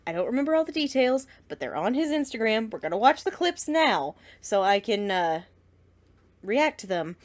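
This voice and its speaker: Animated, high-pitched woman reacting as an excited fan. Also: normal speed. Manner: gushing, slangy, dramatic. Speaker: reaction video host.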